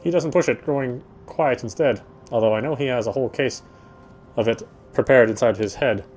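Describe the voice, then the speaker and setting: Guy reading a visual novel out loud, relaxed mellow voice, stumbles a bit, faint noise n rumble. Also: a little fast. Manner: stumbles and rereads phrases. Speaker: game player reading narration aloud. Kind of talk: read-aloud narration of a visual novel.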